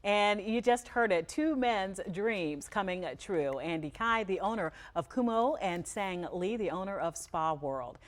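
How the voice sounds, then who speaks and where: A woman's voice: mid-range voice, animated, a little slow, hardly any pauses. A woman hosting a casual studio chat in a chatty, laughing manner. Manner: warm, chatty, laughing easily. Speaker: TV host.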